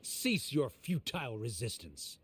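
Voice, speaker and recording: A man's voice: mid-range voice, very animated, noisy background. A man voicing a stern, pompous scholar prosecutor in a video game, speaking formally and dismissively. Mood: scornful. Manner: pompous, formal, deliberate enunciation. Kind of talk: dramatic game voice acting, formal debate.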